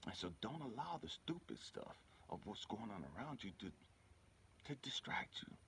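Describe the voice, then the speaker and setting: A man's voice: fairly low pitch, very animated, moderate pace, gravelly, a bit bright, a little hiss or hum. A man giving an informal motivational spiritual talk in an emphatic, repetitive, colloquial way, often checking in with the listener. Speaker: spiritual healer and channel host.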